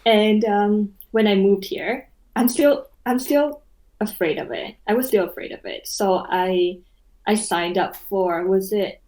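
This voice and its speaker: A woman's voice: medium pitch, unhurried, a little mellow. Young Vietnamese woman, hesitant chatty guest. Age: young adult. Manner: hesitant, restarts, light laughs. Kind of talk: casual podcast chat.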